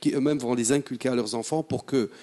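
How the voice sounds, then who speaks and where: A man's voice: medium pitch, fast, warm tone. A middle-aged man speaking as a panelist in a discussion, talking in a reflective, earnest way and mixing French with Moroccan Arabic. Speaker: panelist, radio host.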